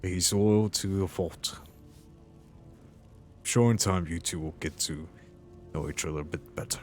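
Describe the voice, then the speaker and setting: A man's voice: sits low, unhurried, a little mellow, faint music behind. A man playing a humble, earnest warrior hero in a fantasy game roleplay, speaking hesitantly and sincerely. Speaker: roleplay player.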